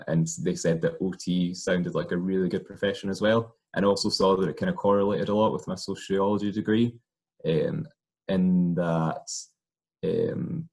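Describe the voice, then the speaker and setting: A young Scottish man, an occupational therapist, talking casually about his career with plenty of ums and uhs. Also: sits low. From Scotland. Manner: relaxed, many ums and uhs. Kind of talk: informal talk to camera.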